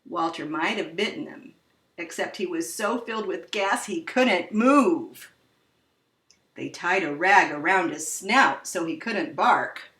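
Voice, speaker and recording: A woman reading a children's story aloud expressively, with dramatic, drawn-out emphasis. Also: on the low side, lively intonation, a bit bright. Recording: roomy echo, distant microphone.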